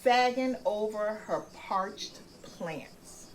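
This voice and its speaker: A woman reads a picture book aloud to children at bedtime, slowly and gently. Her voice sits at an ordinary middle pitch. A faint background hum runs under the reading. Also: low-quality recording. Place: home room with plants on the table.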